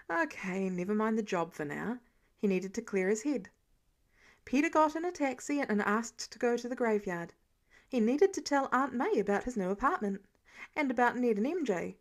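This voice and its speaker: A woman with a fairly high voice narrates fan fiction. She reads from a script in an expressive storytelling style, as a podfic reader does.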